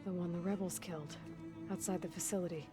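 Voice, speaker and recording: Woman acting steady young game heroine, music behind. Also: fairly low pitch, natural voice. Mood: probing. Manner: direct, measured questioning. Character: steady, probing young heroine, blunt but caring. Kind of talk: video game dialogue acting.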